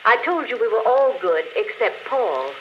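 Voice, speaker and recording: An actress playing an unrepentant murderess confessing in an intimate, matter-of-fact way, in 1940s radio drama style. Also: female voice, fairly high pitch, very animated, normal speed. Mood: coolly confiding. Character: murderess confessing on a phonograph record, coolly unrepentant. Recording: dull, noisy old radio recording.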